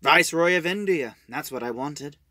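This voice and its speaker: Man acting a forceful, earnest old adventurer. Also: on the high side, expressive, normal speed, no room sound, studio quality. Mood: regretful. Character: weary, principled old British male adventurer.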